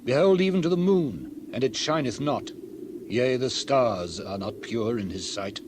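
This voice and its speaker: A man's voice: mid-range voice, expressive, a little slow, background noise, close to an everyday voice. A man playing a stern, pious ancient counsellor in a dramatized scripture reading, declaiming rhetorically. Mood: stern, reverent.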